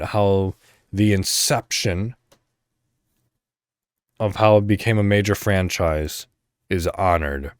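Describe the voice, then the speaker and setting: A man hosting a podcast solo, chatting casually and thinking out loud in a rambling way. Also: deep voice, dry recording, clean studio sound. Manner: rambling, thinking aloud, mild swearing. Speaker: podcast host.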